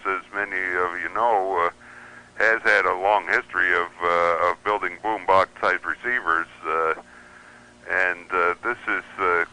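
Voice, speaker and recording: A man's voice: low voice, bright, forceful tone, rough recording. A man speaking as a guest on a radio talk show, hesitant and full of fillers and restarts. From United States. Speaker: guest radio receiver reviewer. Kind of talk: casual interview chat.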